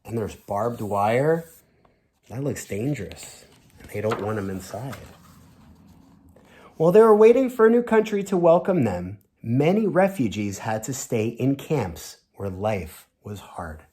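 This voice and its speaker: A man teacher reading a storybook aloud to young children, expressive and chatting directly to them with simple questions. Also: mid-range voice, measured pace, slightly rough.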